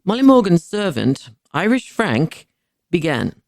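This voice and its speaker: Male narrator, slightly high voice with vocal fry, deliberate formal delivery of scripted history. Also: sing-song, no echo, studio quality. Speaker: podcast narrator.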